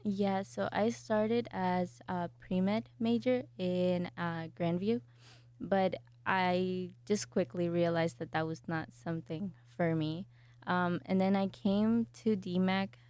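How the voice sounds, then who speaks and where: A young woman from El Salvador answers questions about her studies. Her voice sits fairly low, and she speaks softly and hesitantly with many fillers. The sound is close and dry. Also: steady tone, takes it slowly, clean tone, no echo. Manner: soft-spoken, hesitant with fillers. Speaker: graphic design student. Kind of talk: interview answers.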